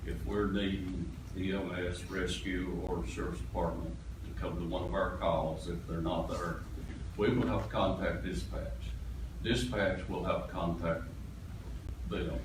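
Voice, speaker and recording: A man's voice: low voice, steady tone, a little slow. An older man, a fire chief giving plain, matter-of-fact informal comments at a public meeting. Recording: very echoey, distant mic, noisy room.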